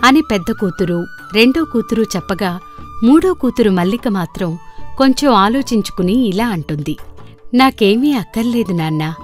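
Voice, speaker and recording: A woman's voice: mid-range voice, sing-song, moderate pace. A woman narrating a scripted folktale as a storyteller. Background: background music.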